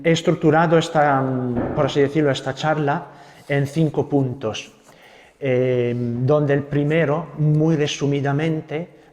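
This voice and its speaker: Young Italian friar speaking Spanish. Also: male voice, mid-range voice, in a large hall. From Italy. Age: young adult. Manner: gentle, unhurried, adds tag questions. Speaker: Carmelite friar giving a talk. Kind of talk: spiritual talk with prayer.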